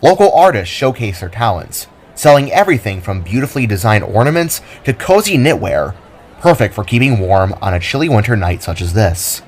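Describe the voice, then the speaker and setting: A man's voice: fairly low pitch, sing-song, fairly quick. A man narrating his own audio drama in a descriptive storytelling style. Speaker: narrator and writer.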